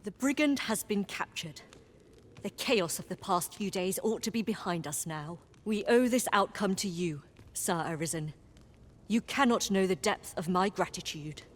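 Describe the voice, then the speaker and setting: An actress playing a stern, dutiful royal knight in a fantasy video game, formal and authoritative. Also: female voice, mid-range voice, animated, normal speed, close to an everyday voice. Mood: relieved, respectful. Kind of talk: video game character dialogue.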